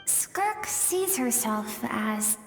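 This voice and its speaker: Mysterious dreamlike game guide lady, highish voice, wistful and knowing, big reverb, music behind. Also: sing-song, very slow, exaggerated voice. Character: enigmatic spirit-like female guide in an inner world. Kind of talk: video game character acting.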